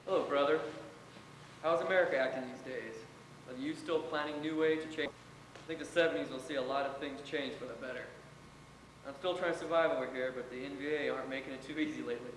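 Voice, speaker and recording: A man's voice: a little high, brisk, a bit bright, lightly acted. A man performing a stage reading as a weary, wry young American soldier writing home. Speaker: readers theater performer. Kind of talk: dramatic reading of war letters. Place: college theater stage. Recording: echoey hall from afar, noisy.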